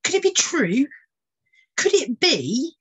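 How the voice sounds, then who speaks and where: A woman voicing an excitable male royal bird keeper, eager and marvelling, in a storybook reading. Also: high-pitched, slow, highs cut off, pitched above the performer's own voice, heavily acted. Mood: excited wonder.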